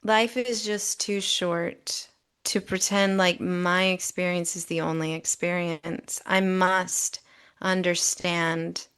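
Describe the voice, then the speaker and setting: A middle-aged woman co-hosting a podcast, speaking in an earnest, emphatic, conversational way with deliberate phrasing. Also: on the low side, steady tone, a bit bright, clean tone. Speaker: podcast co-host. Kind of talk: conversational commentary.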